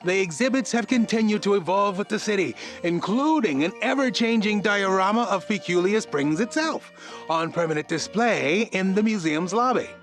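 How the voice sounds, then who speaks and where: A man's voice: high voice, lively intonation, normal speed, faint music behind, rough recording. A male actor playing a cheerful small-town tourism promoter, reading a scripted promotional narration in an upbeat, theatrical salesman's manner. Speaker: tourism board spokesman.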